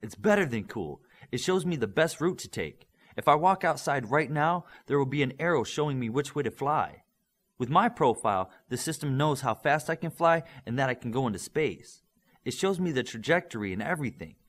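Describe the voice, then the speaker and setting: Eager teenage-style male hero played by a male audiobook reader: earnest, explanatory, lively intonation, slightly husky. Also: medium pitch, fairly quick, natural voice. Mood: enthusiastic, explaining. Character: eager young male superhero student, earnest and excitable.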